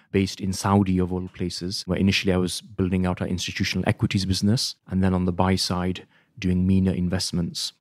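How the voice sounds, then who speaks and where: A UK-raised man, a fund manager, explaining his career in a measured, steady way. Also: low voice, dry recording, studio quality. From United Kingdom. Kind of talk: casual interview answer.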